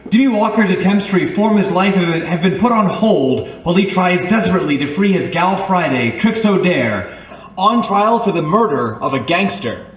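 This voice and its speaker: A man's voice: high-pitched, expressive, moderate pace. A man announcing and narrating in the scripted style of an old-time radio serial. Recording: distant echoey room.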